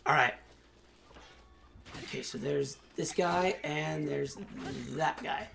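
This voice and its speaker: A hoarse young man in college hosting a casual, excitable let's-play commentary with lots of fillers. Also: a little high, takes it slowly, faint music behind. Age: young adult. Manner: excitable, chatty, many fillers. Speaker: gaming channel host.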